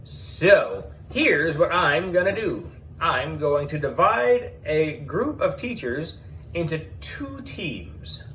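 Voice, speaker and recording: A man's voice: fairly high pitch, sing-song, slow, a bit bright, a little hiss or hum, voice pushed up, heavily acted, poor audio. A man voicing a brash, pushy female TV producer with emphatic showbiz hype in a children's audiobook. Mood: scheming, upbeat.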